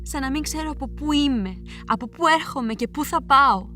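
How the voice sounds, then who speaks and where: A woman's voice: high-pitched, expressive, fast talker, sharp tone, soft background music. A woman playing a sombre chorus figure of warning and lament in a poetic tragedy, grave and rhetorical. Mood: mournful.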